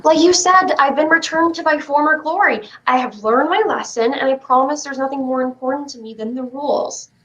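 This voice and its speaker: Woman playing high-voiced anxious child, muffled stream. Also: brisk, hardly any pauses, fairly bright tone. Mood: playful pleading. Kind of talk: staged reading of a play. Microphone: video call. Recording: muffled livestream call audio.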